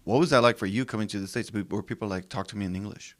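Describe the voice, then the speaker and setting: A man hosts a casual podcast conversation in a low voice with a bright, forward edge. He is playful and teasing and jokes along with his guests. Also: racing. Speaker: podcast host.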